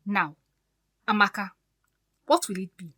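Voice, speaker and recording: A woman's voice: medium pitch, animated, a little slow, sharp tone. Woman playing a poised, cutting fiancée. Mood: stern, threatening. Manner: pointed, sarcastic politeness. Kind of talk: audio drama acting.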